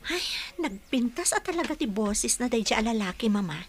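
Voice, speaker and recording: A woman playing a frank, expressive young single woman in an audio drama. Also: fairly high pitch, moderate pace, faint music behind, close to an everyday voice. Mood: wistful. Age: young adult. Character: young single woman, hospital worker, still heartbroken, frank. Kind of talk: Ilocano audio drama acting.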